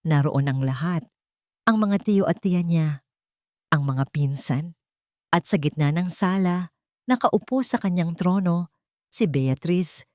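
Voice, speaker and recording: A woman reading a scripted dramatic story as its narrator, in a measured, solemn storytelling manner. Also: on the low side, lively intonation, darkish tone, no room sound, clean studio sound.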